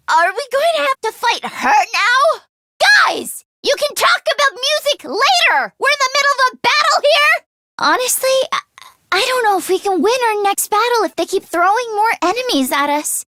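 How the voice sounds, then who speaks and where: A woman voicing a nervous, expressive teenage girl fighter in an English video game, with casual youthful phrasing. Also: extremely high pitch, measured pace, dry recording, studio quality, exaggerated voice. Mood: anxious, queasy, then alarmed, exasperated.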